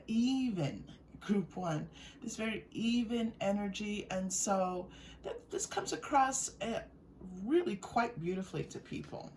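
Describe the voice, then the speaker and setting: A woman giving an unscripted, intuitive tarot reading straight to viewers, speaking gently and reassuringly in halting, searching phrases with repeated words. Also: on the high side. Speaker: tarot reader. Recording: distant mic with faint background noise.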